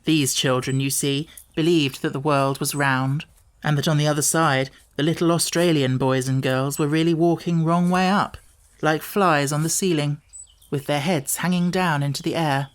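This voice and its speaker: A man's voice: a little high, steady tone, moderate pace. A man reading a children's audiobook as scripted storybook narration.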